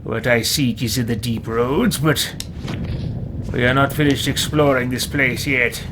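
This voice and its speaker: A man's voice: mid-range voice, fairly even intonation, measured pace, noisy background, lightly acted. A man roleplaying a haughty, scheming evil wizard in a video game, pompous and theatrical as he muses aloud and orders companions about. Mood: haughty musing. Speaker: gamer roleplaying a character in a let's play. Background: loud game music.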